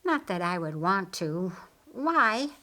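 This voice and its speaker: A woman voicing a reluctant, matter-of-fact brother who explains gravely in a Bible story audiobook. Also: on the low side, sing-song, slow, sharp tone, exaggerated voice. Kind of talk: audiobook character dialogue.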